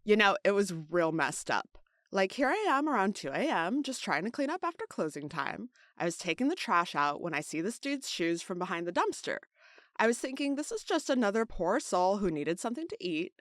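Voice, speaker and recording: A woman's voice: sing-song, fairly quick, a bit bright, dry recording, clean studio sound. Woman playing a slangy, rattled late-shift worker. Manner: slangy, chatty, pitch jumps high. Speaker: witness who found a body. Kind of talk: audio drama acting.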